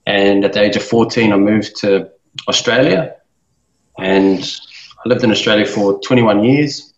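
Roomy, muffled low-voiced man in his thirties. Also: measured pace. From New Zealand. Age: 30s. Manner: thoughtful, with many fillers and restarts. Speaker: guest, business owner. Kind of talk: casual interview talk. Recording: roomy, dull-sounding room mic.

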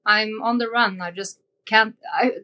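A young adult woman from Norway with a Norwegian accent, a podcast guest talking in a casual interview and often pausing on ums and uhs as she searches for words. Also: a little high, fairly even intonation, pushed and bright.

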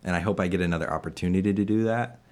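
Man, 20s, very low pitch, from Illinois; halting casual interviewee who laughs easily. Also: a little mellow. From Illinois, USA. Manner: halting, many fillers and restarts, laughs often. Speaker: interviewed staff member.

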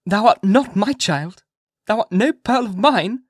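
A narrator voicing a troubled, earnest young mother in an audiobook, pleading with slow, solemn emphasis. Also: mid-range voice, expressive, slightly rough, no room sound, studio quality, pitched above the performer's own voice. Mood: anguished questioning. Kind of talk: dramatized audiobook character voice, archaic speech.

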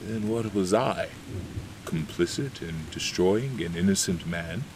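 An actor playing an imperious, excitable ruler in an audio drama, gruff, dismissive and commanding. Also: male voice, low voice, a little slow, slight background noise, poor audio. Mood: stern, troubled. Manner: blustering, commanding. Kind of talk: theatrical audio drama acting.

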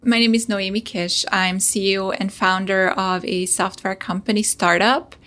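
A woman's voice: medium pitch, steady tone, moderate pace, sharp tone, faint music behind. A woman from Hungary, a startup founder, telling an earnest personal story as a podcast guest.

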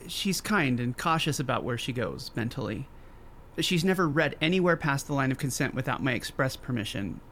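An actress playing a protective, guarded woman doctor in a fiction podcast, with a halting delivery. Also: a bass voice, lively intonation, fairly bright tone, a little hiss or hum. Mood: calm, open. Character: protective, guarded telepathic woman doctor, quietly hurt and angry. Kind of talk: scripted fiction podcast acting.

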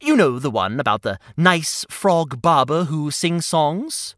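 A showy, self-important hedgehog composer, voiced by a performer, swooping through a lively, bouncing pitch. The tone is bright and bold, and the sound is close and dry with no room around it. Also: slow pace, no room sound. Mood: grandiose. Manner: grandiose, theatrical, self-important. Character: pompous theatrical hedgehog composer. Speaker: sidekick character.

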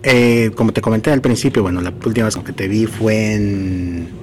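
A man's voice: fairly low pitch, normal speed, a little hiss or hum. A man hosting a podcast interview, speaking casually with many fillers and hesitations. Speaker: podcast host. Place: outdoors at a marathon event.

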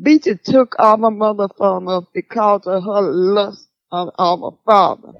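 Woman acting hurt older daughter, gravelly creak, slow, dry muffled recording, audio drama. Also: medium pitch, fairly even intonation, lightly acted. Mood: hurt, reflective. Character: wounded older daughter remembering a family secret.

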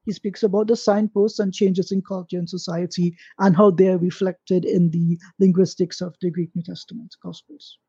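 A man's voice: high-pitched, little pitch movement, moderate pace, hardly any pauses, warm tone, slightly rough, no echo. A man on a live stream panel, informally giving callers advice and pointing them to resources. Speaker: panelist answering callers' questions. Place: online stream. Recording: dull, lo-fi online call.

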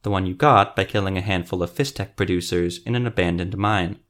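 A man's voice: low-pitched, fairly quick, fairly bright tone, pitched below the performer's own voice. A man voicing a stern, threatening swordsman in a fantasy audiobook, terse and growling. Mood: accusing. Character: stern, threatening male monster-hunting swordsman.

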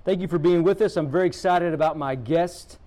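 A man's voice: fairly high pitch, fairly quick, dark tone. Friendly man hosting a school podcast. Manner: friendly, says "man" often. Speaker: podcast host. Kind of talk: casual interview chat.

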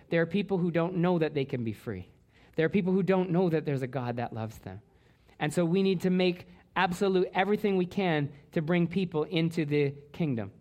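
A man's voice: on the high side, darkish tone. A man from Canada, a pastor preaching a sermon in church, earnest, often restarting and repeating words.

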